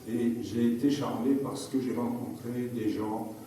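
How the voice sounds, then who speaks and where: Wry, slow-talking man in his seventies. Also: mid-range voice, fairly even intonation, mellow. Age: 70s. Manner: wry, digressive, hesitant. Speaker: retired maths teacher, candidate. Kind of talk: public meeting speech. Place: public meeting hall. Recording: echoey hall, mic far off, faint noise.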